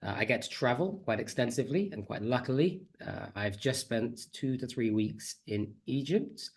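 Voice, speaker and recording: A man's voice: mid-range voice, expressive, moderate pace, a little mellow, breathy edge. A man with a slight cold giving a chatty, friendly online conference talk as a teacher trainer, on a computer mic over a video call. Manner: friendly, chatty, self-deprecating.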